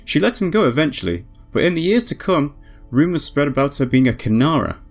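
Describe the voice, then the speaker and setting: Guy reading a story game out loud, really animated pitch, bit of music under him, throws in chatty asides. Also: fairly quick. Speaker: let's play host reading a visual novel aloud. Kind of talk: dramatic read-aloud narration with casual asides.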